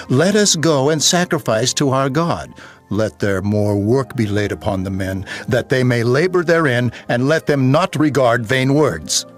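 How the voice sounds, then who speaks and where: A man's voice: mid-range voice, lightly acted. An actor playing a haughty, harsh king of Egypt in a scripture audio drama, declaiming in an imperious, scornful way.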